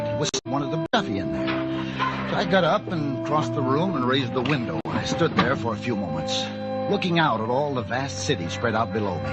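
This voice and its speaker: A man playing a restless, overworked office executive, expressive and somewhat hesitant, as lead and narrator of an old-time radio drama. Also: mid-range voice, lightly acted, poor audio. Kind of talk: 1940s-50s radio drama acting and narration. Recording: vintage radio drama, loud music.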